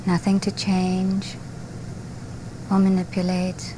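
A woman leading a guided meditation, speaking gently and softly in short, unhurried phrases with breathy endings. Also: fairly low pitch, completely flat delivery, background noise. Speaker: meditation teacher.

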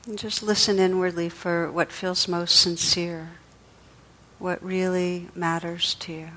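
A woman leading a guided meditation as a teacher, speaking in a gentle, soothing, unhurried way with pauses. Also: lowish voice, level tone, low-quality recording.

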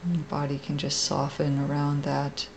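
A woman meditation teacher leading a guided meditation in a gentle, soft, creaky, unhurried voice with long pauses. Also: deep voice, completely flat delivery, slight background noise.

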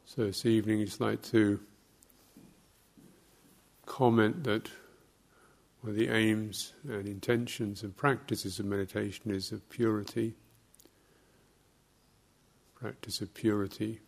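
A man with a gravelly, fairly low voice leads a guided meditation. His delivery is very slow and measured, broken into short phrases with pauses, as a teacher giving instruction. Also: steady tone.

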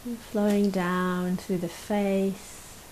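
A woman leading a guided meditation as a teacher, speaking gently and unhurriedly with long pauses. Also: mid-range voice, flat delivery, poor audio. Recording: faint hiss behind the voice.

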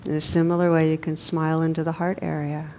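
A woman meditation teacher leading a guided meditation in a gentle, unhurried manner with long pauses, on a recording with some noise. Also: level tone. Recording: dull recording with noise and rumble.

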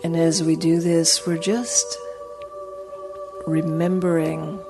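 A woman leading a guided meditation as a teacher, speaking in a soothing, gentle, unhurried way with long pauses. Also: sits low, level tone, soft background music.

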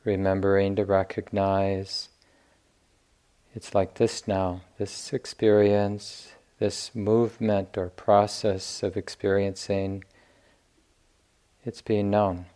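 Guy leading a meditation, really slow and gentle, low smooth voice. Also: little pitch movement. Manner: unhurried, gentle, with long pauses between phrases. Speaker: meditation teacher. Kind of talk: guided meditation instruction.

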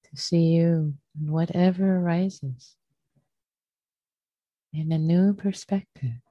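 Low, very soft-toned woman guiding meditation slowly. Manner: gentle, unhurried, with long pauses between short phrases. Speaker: meditation teacher. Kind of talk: guided meditation. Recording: muffled, roomy sound.

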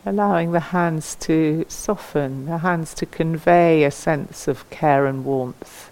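A woman leading a guided meditation as a teacher, speaking gently and leaving long pauses between phrases. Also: deep voice, rough recording.

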